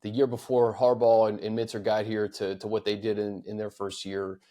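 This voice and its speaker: A man's voice: on the low side, level tone, normal speed, mellow. An American man hosting a sports podcast in casual, conversational talk, often repeating words as he thinks aloud. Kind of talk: casual sports talk, fielding fan questions.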